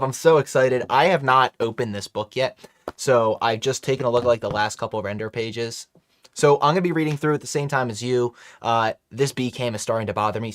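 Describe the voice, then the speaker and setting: A man's voice: mid-range voice, dry recording. A man hosting a casual live stream from a home studio, chatty and upbeat, talking to his chat. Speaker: livestream host.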